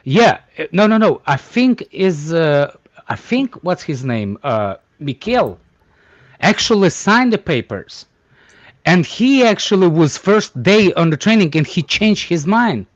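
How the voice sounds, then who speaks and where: A man whose first language is Russian, talking bluntly in halting English during a casual group chat, teasing the others. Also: sits high, very animated, dry recording, muffled recording. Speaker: panelist.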